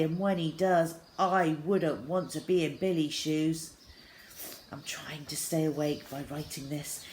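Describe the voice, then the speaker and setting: Woman reading a story, slightly low voice. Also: darkish tone. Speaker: storyteller reading a children's novel aloud. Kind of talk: expressive scripted story narration. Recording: clear background noise with birdsong.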